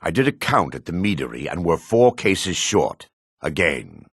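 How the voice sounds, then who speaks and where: An actor playing a shifty, greedy dark elf merchant and foreman in a fantasy video game, brusque and sly, with a raspy voice. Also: deep voice, big pitch swings, normal speed, no room sound, clean studio sound, exaggerated voice. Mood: irritated, brusque.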